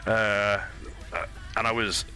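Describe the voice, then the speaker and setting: A man's voice: on the low side, fairly even intonation, slow pace, pushed and bright. A Scottish man streaming a game, chatting casually, swearing and laughing easily. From Scotland. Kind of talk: casual gaming stream chat.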